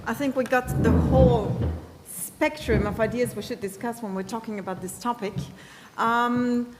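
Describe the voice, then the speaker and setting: Woman moderator in a reverberant hall. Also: fairly high pitch. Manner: halting, many fillers and restarts while framing questions. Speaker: panel moderator. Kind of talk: moderating a panel discussion. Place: conference hall. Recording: long hall reverb, faint noise.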